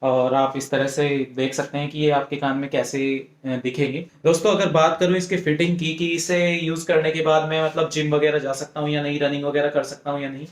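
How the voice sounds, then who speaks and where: Higher-pitched Indian man reviewing gadgets. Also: flat delivery, brisk, few pauses. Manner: explanatory, mixes English phrases into speech. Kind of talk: casual product review to camera.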